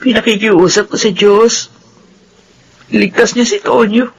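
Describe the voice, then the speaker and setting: A woman's voice: mid-range voice, fairly even intonation, unhurried, gravelly, highs cut off, slight background noise. An actress playing Libay, a tender, tearful young woman in a radio drama, talking in an emotional, pleading way.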